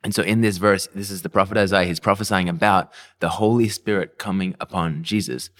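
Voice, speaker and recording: Deep-voiced Australian man preaching. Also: fairly even intonation, brisk, fairly bright tone. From Melbourne, Australia. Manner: earnest, personal storytelling with repeated phrases. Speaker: pastor. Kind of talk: devotional sermon talk.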